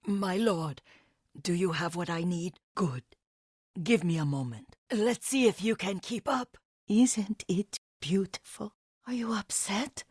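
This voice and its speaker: An actress playing a frail, devoted elderly Japanese herbalist in a video game, speaking gently and wistfully with a husky, breathy voice. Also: female voice, medium pitch, no echo, clean studio sound. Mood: brisk, practical. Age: older. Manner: gentle, wistful, slow and halting. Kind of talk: dramatic video game voice acting.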